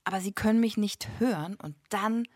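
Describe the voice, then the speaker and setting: A woman's voice: medium pitch, normal speed, a little mellow, natural voice. An actress playing a woman in her forties who wryly recalls an anxious childhood in a radio play. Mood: rueful, confiding.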